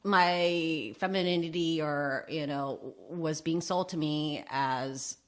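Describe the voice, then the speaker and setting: Low-voiced middle-aged woman telling personal stories. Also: slow pace. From United States, Vietnamese immigrant family, arrived aged three. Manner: candid, self-deprecating humour. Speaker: interview guest, drag king and public speaker. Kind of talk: animated personal storytelling in casual chat.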